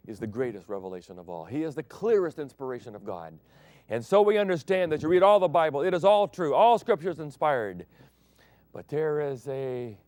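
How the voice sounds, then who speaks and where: Pastor, male, mid-pitched, preaching with surges of emphasis and quiet drops, dry close sound. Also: no room sound. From Thailand. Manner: swings between loud emphatic declarations and soft hushed phrases. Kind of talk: sermon broadcast.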